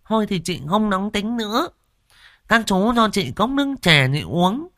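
A man voicing a brash, hot-tempered village woman who shouts bossy demands in a shrill voice. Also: animated, a little slow, no room sound, studio quality. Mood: bossy loud.

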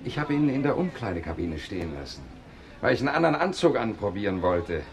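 A man acting a defiant, irritable young troublemaker in a German radio drama, gruff and indignant. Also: fairly low pitch, very animated, quick pace. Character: defiant, irritable young male bomb-plot decoy.

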